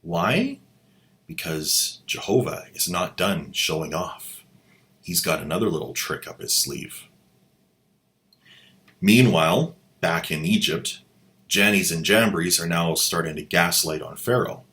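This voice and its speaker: A man's voice: low voice, expressive, takes it slowly, bright, forceful tone. A man hosting a video, giving mocking, irreverent commentary on an old audio drama. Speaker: channel host and commentator. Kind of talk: sarcastic commentary.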